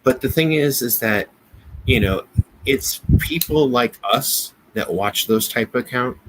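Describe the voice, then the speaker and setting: A man with an American accent in a casual business discussion, speaking haltingly, often repeating words and restarting. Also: medium pitch, low-quality recording. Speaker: business podcast co-host. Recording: noticeable background noise.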